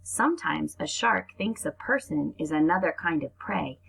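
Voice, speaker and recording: A woman's voice: low voice, animated, moderate pace, vocal fry, a bit bright, breathy edge, low-quality recording. A woman reading aloud to young children like a teacher, explaining things and adding asides as she goes.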